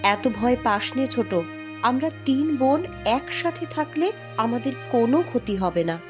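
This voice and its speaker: A woman voicing a protective, quick-witted eldest princess in a fairy-tale cartoon, with a firm, dramatic, scolding manner. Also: fairly high pitch, animated, over music. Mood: earnest.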